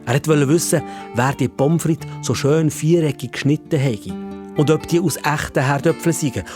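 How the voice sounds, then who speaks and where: A man's voice: a little high, moderate pace, music in the background. A man with a Swiss accent telling a scripted story for children as narrator.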